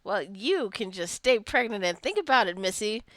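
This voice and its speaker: A woman's voice: medium pitch, sing-song, normal speed, fairly bright tone, no room sound. A woman with a mid-range voice gives casual commentary over a game she is playing. She talks to the characters directly, teasing and scolding them, and drifts into chatty asides. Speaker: gamer narrating her own gameplay video. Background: life simulation game being played.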